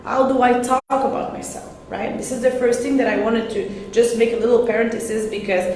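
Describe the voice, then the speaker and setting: A woman's voice: fairly high pitch, fairly even intonation, fairly quick, a little mellow. A woman whose first language is French gives a live-streamed sales talk in English, hesitating and often repeating and restarting her words. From French-speaking. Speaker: sales coach. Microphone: live-stream camera. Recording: very echoey room, faint noise.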